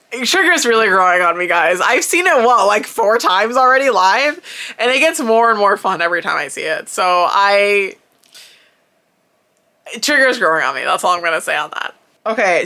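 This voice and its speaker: Young woman vlogger from Florida, bright and eager, gushing concert story. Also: medium pitch. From Florida, United States. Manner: animated, laughing, heavy use of "like". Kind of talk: excited casual storytelling.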